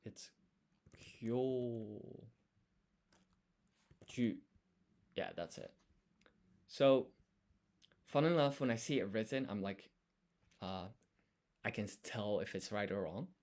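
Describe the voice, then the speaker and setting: A man's voice: lowish voice, slow pace, pauses often, darkish tone. A man livestreaming casually as he studies, thinking aloud and sounding out words syllable by syllable. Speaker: live streamer studying Japanese. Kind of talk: casual live study chat.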